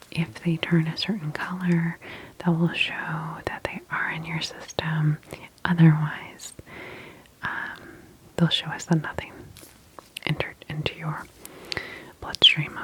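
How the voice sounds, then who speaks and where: A woman speaks in a soft, breathy whisper, low and very even in tone. She plays a calm, attentive doctor in an ASMR roleplay, gently reassuring the patient at an unhurried, slow pace. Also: poor audio. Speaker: ASMR roleplayer playing a medical examiner.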